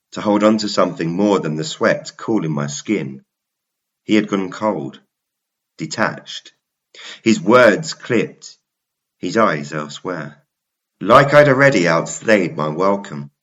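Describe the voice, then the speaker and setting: A man with a very low voice narrates a first-person story. His delivery is measured and dramatic, like a private confession being read aloud. The recording sounds slightly dull and lo-fi. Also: a bit bright.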